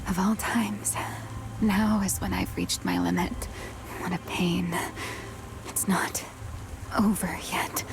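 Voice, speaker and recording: An actress playing a weary, self-sacrificing legendary female outlaw in a video game, with a breathy, resigned, theatrical delivery. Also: mid-range voice, steady tone, very slow, pauses often, whispered. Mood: weary defiance. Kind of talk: dramatic video game voice acting. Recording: music behind the voice.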